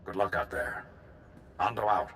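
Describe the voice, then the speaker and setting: Low, forceful military general. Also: male voice, lively intonation, moderate pace, gravelly, a little hiss or hum, exaggerated voice. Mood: formal, approving. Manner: formal, authoritative. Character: commanding, gracious male military general. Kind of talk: video game cutscene acting.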